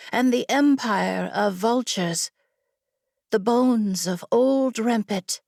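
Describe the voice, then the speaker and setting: A woman's voice: on the high side, creaky, darkish tone, dry recording, studio quality, exaggerated voice. A woman voicing a bookish young woman traveler, eager and wordy, in an audiobook story.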